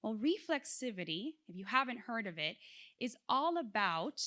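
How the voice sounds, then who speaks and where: Woman conference speaker from South Florida, lively, engaging, rather high voice. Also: normal speed. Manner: animated, engages audience with questions. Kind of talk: motivational keynote talk.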